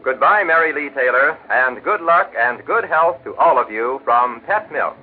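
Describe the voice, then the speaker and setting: An American man sells a sponsor's product in chatty 1940s radio style. His husky voice rises and falls freely in a folksy patter. It comes through as a narrow, phone-like old broadcast. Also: mid-range voice, expressive, moderate pace. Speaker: radio announcer and sponsor's pitchman. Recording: vintage 1940s radio broadcast, telephone-like band.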